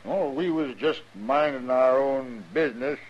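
An actor playing a cocky, folksy miner who is a drifter's mouthy sidekick, in a 1950s radio western. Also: male voice, medium pitch, measured pace, close to an everyday voice. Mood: defensive. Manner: folksy, brash. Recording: muffled old radio drama recording.

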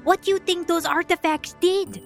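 A woman's voice: very high voice, normal speed, vocal fry, faint music behind. A woman playing her character in a tabletop game, cheerful and reassuring.

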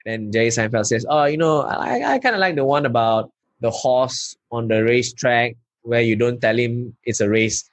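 A man from Malaysia with a Malaysian accent, a stand-up comedian telling lively anecdotes in an interview. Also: mid-range voice, normal speed, dry recording. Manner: animated anecdotes, local particle "lah". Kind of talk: casual interview storytelling.

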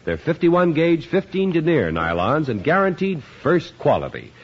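A man's voice: a little high, wildly swooping pitch, normal speed, husky. A man announcing and reading ads on a 1950s radio show, with a polished, emphatic delivery, on a lo-fi broadcast.

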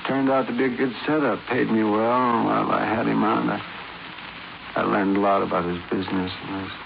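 An American actor playing a dying con-man hypnotist in a 1950s radio crime drama, confessing haltingly in a weak, gravelly, weary voice. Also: fairly low pitch, fairly even intonation. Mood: weary, confessional. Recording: muffled, noisy 1950s radio recording.

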